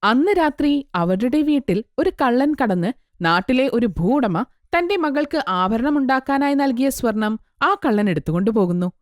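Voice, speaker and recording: A woman's voice: a little high, expressive, moderate pace, no room sound, clean studio sound. A woman giving scripted narration of a children's story as its narrator.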